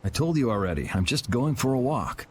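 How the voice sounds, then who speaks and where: A man's voice: mid-range voice, animated, fairly quick, darkish tone, lightly acted. Game hero: cool young vigilante, male actor, mid-range voice, dry and sardonic, noise underneath. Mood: casual, probing. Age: young adult. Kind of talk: video game voice acting.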